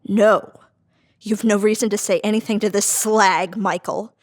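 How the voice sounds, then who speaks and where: A woman plays a manipulative inner voice in an audio drama read-through. She is scornful and contemptuous, speaking in an insinuating way at a middling pitch. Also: fairly even intonation, measured pace, close to an everyday voice. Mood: scornful, coaxing. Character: manipulative, scornful female voice in a suspect's head.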